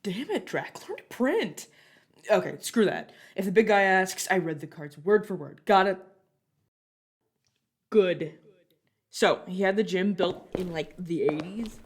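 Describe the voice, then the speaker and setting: A woman's voice: fairly low pitch, expressive, normal speed. A woman playing a casual, reassuring vampire guide in an audio roleplay, talking informally to the listener as a mentor to a newcomer. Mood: chatty, wry. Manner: chatty, informal, self-correcting, reassuring.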